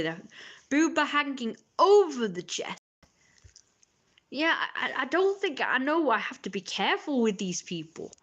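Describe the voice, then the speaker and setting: A woman streamer ranting in casual live chat. She talks fast and exasperated, with mocking asides that quote other people. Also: fairly high pitch, fairly bright tone. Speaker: livestreamer.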